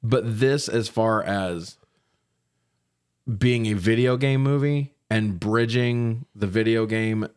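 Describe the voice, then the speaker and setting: A man hosting a casual film review podcast, recapping in an animated, profane way with frequent laughter. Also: fairly low pitch, slow, clear, resonant tone. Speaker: podcast host reviewing films. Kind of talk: casual recap and banter between friends.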